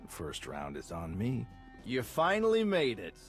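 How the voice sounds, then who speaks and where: An actor playing a suave, flirtatious Republic spy in a video game, smooth-talking and casual. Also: male voice, medium pitch, huge pitch swings, unhurried, faint music behind. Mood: flirtatious, breezy. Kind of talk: video game cutscene acting.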